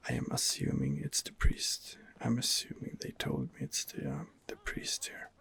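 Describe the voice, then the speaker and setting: A man whispers close to the microphone in a very creaky, gravelly voice. He comments on his role-playing game in an ASMR style, hesitating and thinking aloud as he weighs things up. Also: medium pitch, wildly swooping pitch, normal speed, a bit bright. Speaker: gamer narrating a role-playing game playthrough.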